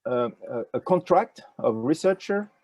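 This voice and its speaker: An older man with a French accent talks in a gravelly, mellow voice. He answers in a halting way, full of fillers and restarts. The sound is dry, from a wired earphone mic on a video call. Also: mid-range voice, animated, dry recording. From France. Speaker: ecology professor, interview guest. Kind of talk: casual interview answers. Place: indoors with a virtual nature backdrop.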